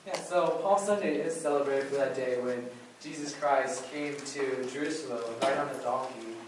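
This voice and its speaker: A man's voice: mid-range voice, normal speed. Echoey, distant man addressing an audience. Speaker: puppet show presenter at a church. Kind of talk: casual address to an audience, questions and prayer. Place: church hall, recorded from the audience area. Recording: very echoey room, distant mic, faint noise.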